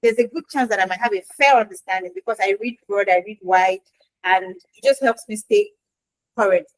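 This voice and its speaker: A woman, a Yoruba speaker, answering interview questions in a lively, chatty way with acted-out quotes. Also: medium pitch, fairly quick, no echo, low-quality recording. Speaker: guest expert in quality assurance.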